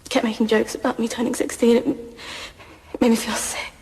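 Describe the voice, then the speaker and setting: In an echoey hall, a woman acting as a scared teenage girl speaks in a high voice. She falters through courtroom drama testimony. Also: flat delivery, a little mellow, natural voice. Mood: uneasy, subdued. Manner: halting, faltering.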